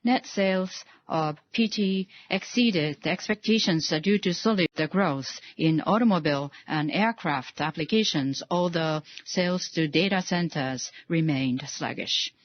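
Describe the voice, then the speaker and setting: A woman giving a formal financial results presentation, speaking haltingly with frequent hesitations. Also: on the low side. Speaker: conference call presenter. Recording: dull, roomy conference call audio.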